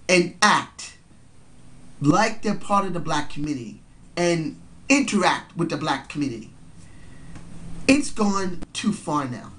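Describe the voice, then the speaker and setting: A man's voice: high voice, a bit bright, low-quality recording. A young man with a stuffy, congested voice, giving earnest opinion commentary into a webcam in a small bedroom, repeating his points for emphasis. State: congested from a cold. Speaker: vlogger. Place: small cluttered bedroom indoors. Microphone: built-in webcam mic.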